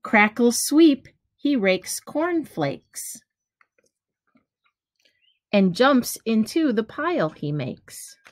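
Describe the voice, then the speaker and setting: A middle-aged woman reading a children's picture book aloud, deliberately, pausing between phrases. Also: a little high, very animated, dry recording. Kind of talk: children's storybook read-aloud. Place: small room at home, indoors. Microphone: webcam or device at arm's length.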